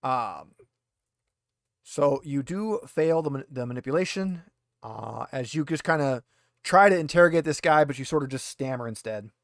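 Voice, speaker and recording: A man's voice: mid-range voice, animated, normal speed, no echo, studio quality. A man narrating as game master in a casual, conversational way, explaining rules to players.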